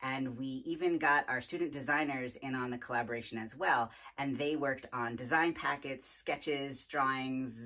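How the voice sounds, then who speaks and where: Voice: mid-range voice, fairly bright tone. An artistic director and host giving a prepared welcome speech to camera for an online theater festival.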